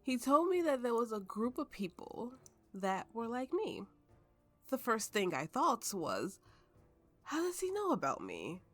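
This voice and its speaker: A woman playing a tipsy, haunted woman with magical powers, telling her story slowly and haltingly in an intimate ASMR roleplay over music. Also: fairly high pitch, huge pitch swings, full character voice. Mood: tipsy reminiscing. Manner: halting, drunken rambling with frequent laughs. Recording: voice over soft background music.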